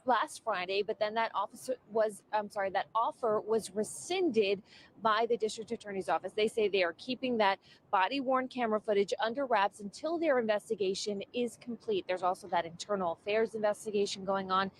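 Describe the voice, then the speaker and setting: A woman's voice: medium pitch, brisk, a little hiss or hum. A woman reporter delivering scripted television news narration.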